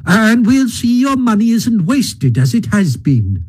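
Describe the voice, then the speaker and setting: A man voicing a gentle, earnest male mole, speaking softly and sincerely in a storybook reading. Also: high-pitched, big pitch swings, unhurried, husky, pitched above the performer's own voice, full character voice. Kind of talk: audiobook reading.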